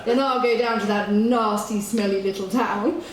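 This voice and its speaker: A woman's voice: mid-range voice, fairly even intonation, normal speed, natural voice. A woman playing a gleefully wicked, sneering pantomime villainess on a theatre stage, gloating melodramatically. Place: theatre stage before an audience. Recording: echoey theatre with clear noise.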